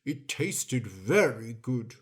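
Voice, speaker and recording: A reader voicing a smug, careless male dog in a storybook, offhand and loud. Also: medium pitch, big pitch swings, slow pace, breathy edge, exaggerated voice.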